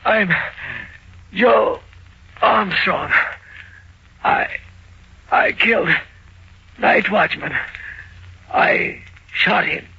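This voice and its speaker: An actor playing a dying gangster, gasping and faltering, in an old-time radio crime drama. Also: male voice, sits high, drawn-out words, gravelly, pauses often, pushed and bright, full character voice. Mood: agonized. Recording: muffled, noisy vintage radio recording.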